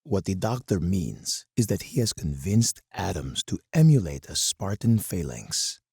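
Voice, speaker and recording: Hardened military commander, game character, dark and husky timbre, close dry sound, stern, deliberate, menacing oratory. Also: male voice, sits low, very animated, no echo, heavily acted. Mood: measured instruction. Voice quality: gravelly, husky. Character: hard, commanding older male military colonel, menacing strategist. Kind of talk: dramatic scripted game character monologue.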